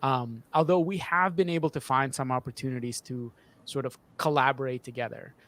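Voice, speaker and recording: A man's voice: medium pitch, fairly even intonation, a bit bright. A man in his thirties, a sound designer, explaining his process in an interview from a home studio, restarting words as he goes. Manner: halting, often repeats and restarts words. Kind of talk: interview answer about creative process. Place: small home studio, video call. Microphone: desk boom microphone up close.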